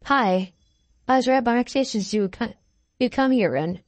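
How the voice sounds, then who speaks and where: A woman voicing a calm, shrewd young cafe maid in a visual novel game, measured and earnest. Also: on the high side, no echo, close to an everyday voice. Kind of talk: visual novel dialogue reading. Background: background music.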